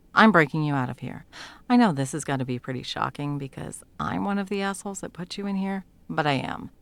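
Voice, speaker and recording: A woman's voice: rumbling low, gravelly, heavily acted. A woman playing a brash, sweary, bossy sound mage with a teasing fondness, in an intimate audio roleplay. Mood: urgent, bossy. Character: brash, foul-mouthed, teasing female sound mage, secretly smitten. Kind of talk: intimate second-person audio roleplay acting.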